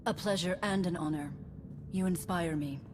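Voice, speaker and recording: An actress voices an earnest, dignified woman in a video game. Her voice sits slightly low and measured, with long reverb and noisy ambience around it. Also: a little mellow, close to an everyday voice. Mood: gracious, earnest. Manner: formal, gracious, measured.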